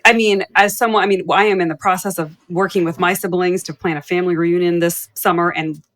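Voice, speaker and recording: Low-voiced woman asking candid questions. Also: fairly even intonation, a little fast, a bit bright. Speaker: podcast co-host. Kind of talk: conversational podcast interview.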